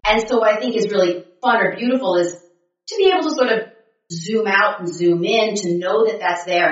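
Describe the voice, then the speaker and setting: Low-ish woman on a faith podcast, lively and chatty, sounds far from the mic. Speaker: podcast co-host. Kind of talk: reflective faith conversation. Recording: echoey room, distant mic, muffled.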